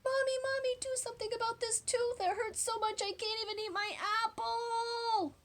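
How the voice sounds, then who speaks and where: A shrill little boy cries out in pain over a wobbly tooth, as a woman puts him on. His voice jumps far above the reader's own and goes loud. He pleads with his parents in a storybook read-aloud. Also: steady tone, normal speed, slight background noise. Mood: yelling in pain. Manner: high, yelling and pleading. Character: boy with an aching loose tooth.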